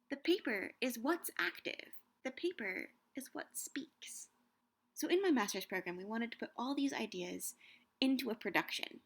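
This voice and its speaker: A young woman giving a scripted, measured talk on Shakespeare and books, slowing down to savor quoted lines. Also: fairly high pitch. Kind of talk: scripted educational narration.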